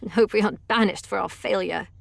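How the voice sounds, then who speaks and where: A woman's voice: medium pitch, steady tone, fast, gravelly, dry recording. A woman playing an earnest, direct and resolute young knight in an audio drama. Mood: grieving.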